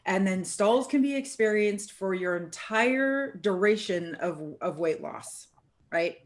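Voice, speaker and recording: A woman hosting a relaxed group conversation. She sounds upbeat and encouraging, talks in a loose, chatty way and keeps checking in with her guests. Her pitch sits in an ordinary middle range. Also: slow pace. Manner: upbeat, encouraging, chatty with many "right?" tags. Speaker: host. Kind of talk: casual group chat.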